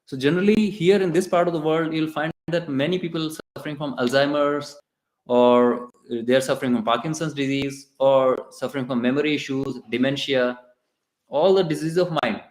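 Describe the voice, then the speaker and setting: A man with an Indian English accent giving a conversational devotional lecture in a temple, pausing and restarting often. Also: a little high, darkish tone. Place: temple hall. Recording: echoey temple room.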